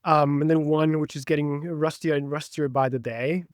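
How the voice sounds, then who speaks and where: A man's voice: a little high, fairly even intonation, normal speed, no room sound, clean studio sound. A man from Brazil whose first language is Portuguese, talking eagerly as a podcast guest in a casual interview. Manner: enthusiastic, talkative.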